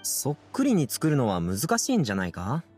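A man's voice: mid-range voice, wildly swooping pitch, a little fast, loud music behind the voice. A man playing an easygoing, good-natured young man in an anime game, casual, boyish and a little hesitant. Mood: hesitant, thoughtful. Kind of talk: Japanese anime game acting.

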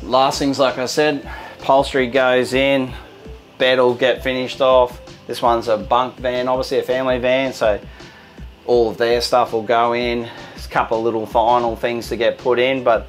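A man in his forties leads a relaxed, upbeat tour of a caravan production line. His voice sits in a middle range, and faint music runs underneath. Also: medium pitch, normal speed. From Australia. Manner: upbeat, informal, gestures at fittings while walking. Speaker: company head giving a factory tour. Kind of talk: walk-through tour presentation. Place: large indoor caravan factory and van interior.

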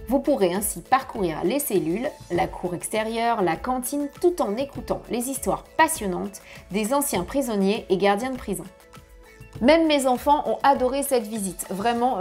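A woman in her thirties giving travel tips to camera from her home office, lively and chatty, on a lapel mic. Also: a little high. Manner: lively, chatty, gesturing with hands. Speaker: family travel vlogger. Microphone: clip-on lapel mic.

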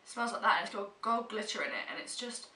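Bright young British woman, stuffy with a cold. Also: medium pitch, very animated, quick pace. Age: young adult. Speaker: vlogger. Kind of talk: casual monthly favourites chat to camera. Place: small room at home, indoors. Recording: roomy echo, distant mic, faint hiss.

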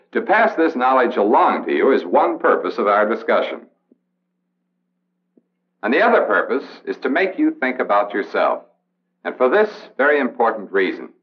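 An actor playing a serious Air Force officer instructor with an American accent, lecturing in a measured, formal way on an old training film. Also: male voice, fairly low pitch. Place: indoor briefing room film set. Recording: dull vintage film soundtrack.

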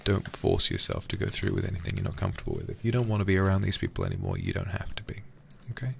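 A man livestreaming a game in a soft, soothing ASMR style, chatting casually and affectionately close to the microphone. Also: low-pitched, wildly swooping pitch, very fast, creaky, slight background noise. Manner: soothing, affectionate, drops into whispers. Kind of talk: ASMR gaming stream, soft casual chat. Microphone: close streaming microphone.

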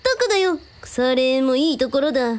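Woman playing a playful, very high-voiced girlish sleuth. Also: animated, slight background noise. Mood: earnest. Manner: drawn-out, playful, quirky sentence endings. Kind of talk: radio drama dialogue.

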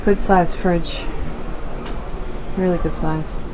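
A woman's voice: lowish voice, steady tone, slow pace. A woman in her thirties filming herself with a handheld camera as she tours caravans, chatting casually and thinking aloud. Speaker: vlogger. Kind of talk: casual walkthrough commentary. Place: busy indoor expo hall, inside caravans. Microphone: handheld camera at arm's length. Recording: loud crowded expo hall. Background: loud crowd and hall noise.

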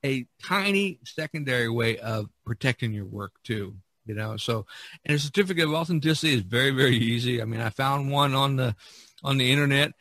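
A man's voice: mid-range voice, a little fast, fairly bright tone, dry recording. A man chatting casually on a podcast, explaining things in a rambling way with many "you knows". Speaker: artist on a podcast.

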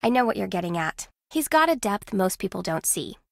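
Wry, animated game rival. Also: female voice, medium pitch, fairly quick, gravelly, no echo, studio quality. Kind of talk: video game dialogue acting.

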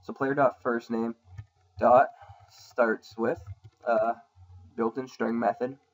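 A man talks through a coding tutorial over a screen recording, casual and unscripted, often pausing on ums while he types. His voice sounds a little rough from a sore throat, and it sits at a middling pitch. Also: level tone, highs cut off. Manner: casual, thinking aloud with many ums. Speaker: programming tutorial host. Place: at a computer, voice-over on screen capture.

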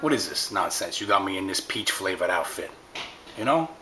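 A man voicing a gruff, dapper toy doll who grumbles low complaints about its outfit and drops menacing asides. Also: lively intonation, moderate pace, pushed and bright, a little hiss or hum, poor audio. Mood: indignant.

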